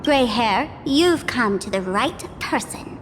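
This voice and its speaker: A woman's voice: on the high side, animated, slow pace, long reverb tail, slight background noise. A woman voicing a mischievous masked jester woman in a video game, speaking in a teasing, theatrical, smug way. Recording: game cutscene with loud music.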